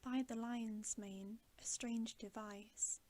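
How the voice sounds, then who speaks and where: A woman reading a soft-spoken, stately young king. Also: fairly high pitch, steady tone, slow. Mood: courtly, wondering. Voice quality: soft, hushed. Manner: stately, archaic courtly phrasing. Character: noble young king, courtly and formal.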